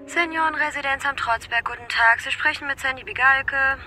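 A woman's voice: high voice, brisk, loud music behind the voice, close to an everyday voice. A woman playing a formal, polite retirement home receptionist answering calls in a radio drama. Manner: formal, service-like. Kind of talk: radio drama phone greeting.

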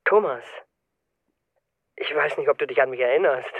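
An actor playing a sober systems consultant, a smitten city single, matter-of-fact in a German radio play. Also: male voice, medium pitch, normal speed, no room sound, narrow, tinny audio, natural voice. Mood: tentative, hopeful.

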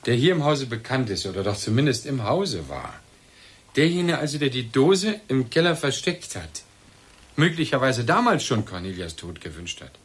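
An actor playing a bereaved, agitated husband in a German radio play, probing and insinuating in halting, pointed phrases. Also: male voice, medium pitch, sing-song, close to an everyday voice. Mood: probing, suspicious. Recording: roomy, echoing radio drama recording.

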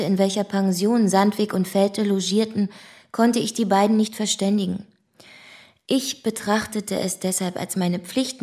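A woman's voice: medium pitch, mellow, close to an everyday voice. An actress playing a prim, proper woman in a German radio drama, speaking in a formal, reserved way. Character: prim, proper woman recalling her past acquaintance. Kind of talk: first-person recollection in German radio drama.

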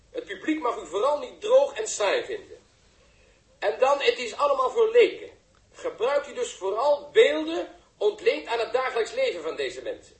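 An effusive male broadcast announcer in a 1960s radio play. His voice is extremely high for a man and rings in a roomy echo. He is slick and flattering, with a grandiose, showy delivery. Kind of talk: 1960s Dutch radio drama acting. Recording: roomy, echoing radio drama sound.

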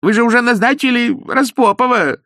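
A man's voice: extremely high pitch, brisk, fairly bright tone, no echo, studio quality, pitched above the performer's own voice. A man doing a thin, whiny, touchy little man. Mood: hurt whining. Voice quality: thin, reedy. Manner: whiny, eager.

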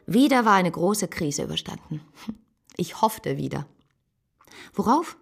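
A woman's voice: fairly low pitch, very animated, unhurried, creaky, breathy edge, faint music behind, full character voice. An actress playing a reflective, devoted but uneasy woman of 1860s Paris, narrating intimately in a historical radio drama. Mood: resigned, tender. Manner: introspective, intimate first-person narrating.

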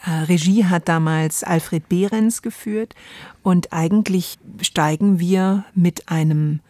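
A woman's voice: on the low side, takes it slowly, dark tone, dry recording. A woman hosting a radio programme, talking reflectively and choosing thoughtful, poetic phrases in conversation. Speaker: radio show host. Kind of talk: reflective two-person conversation about radio drama.

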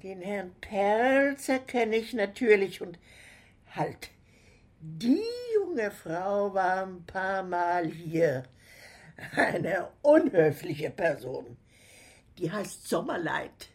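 Husky, nosy caretaker woman. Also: medium pitch, sing-song, very slow, darkish tone, heavily acted. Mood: chatty, gossipy. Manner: blunt, suspicious questioning, plain colloquial talk. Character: nosy, blunt, chatty female building caretaker. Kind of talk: radio drama acting.

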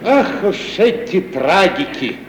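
An actor playing a wry, penniless, grumbling comic sidekick with mournful mockery in a 1950s radio comedy. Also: male voice, high-pitched, very animated, slow pace, full character voice. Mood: rueful, mocking. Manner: mournful, mocking exclamations. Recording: old noisy hall-like radio recording.

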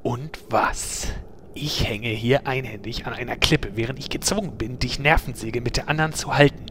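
A man's voice: mid-range voice, moderate pace, fairly bright tone, slight background noise, lightly acted. Man acting exasperated, sarcastic fantasy hero. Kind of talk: German audio drama parody.

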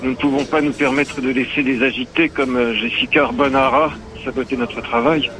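An actor playing a mysterious, sinister phone caller in a French audio drama, polite, formal and measured. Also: male voice, medium pitch, fairly even intonation, pushed and bright, low-quality recording. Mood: coolly menacing. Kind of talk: French audio fiction acting.

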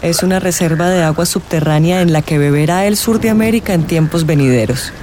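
A woman's voice: lowish voice, fairly quick, background noise, lightly acted. A woman acting a flirtatious, mysterious tarot reader in a radio drama. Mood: intrigued.

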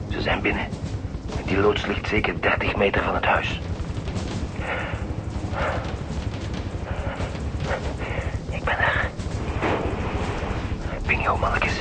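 A man with a Flemish accent playing a gruff, bossy chief detective in a radio crime drama, terse and commanding. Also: low voice, heavy background noise. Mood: hushed, tense. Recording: music under the voice.

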